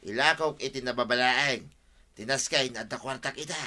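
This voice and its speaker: An actor playing a scheming, hot-tempered gambler husband in an Ilocano radio comedy-drama, with exclamatory delivery. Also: male voice, mid-range voice, a little fast, sharp tone. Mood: sly, persuasive.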